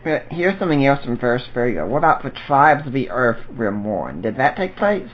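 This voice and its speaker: A man hosting a podcast, who reads verses aloud and then asks quick questions. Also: mid-range voice, very animated, highs cut off. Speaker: podcast host. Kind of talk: interview questions.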